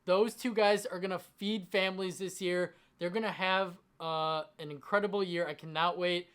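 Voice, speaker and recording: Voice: medium pitch, steady tone, normal speed, fairly bright tone, smooth. A fantasy football host giving advice straight to the audience, emphatic and enthusiastic, with a voice slightly strained from long talking. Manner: emphatic, enthusiastic about the picks. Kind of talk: direct-to-audience sports advice commentary.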